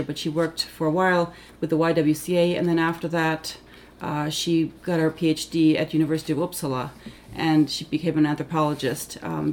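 A middle-aged woman who grew up in Tanzania, with Finnish as her first language, recalls her childhood in a thoughtful, halting way, full of ums and self-corrections. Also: sits low, darkish tone. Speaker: interviewee, Africanist scholar. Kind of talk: reflective oral history interview.

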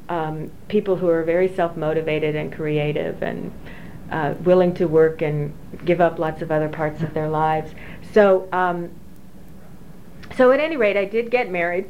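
Low-voiced professor woman, hesitant. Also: moderate pace. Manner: hesitant, many fillers and restarts, reflective. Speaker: engineering professor. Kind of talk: oral history interview. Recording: dull lo-fi recording with faint hiss.

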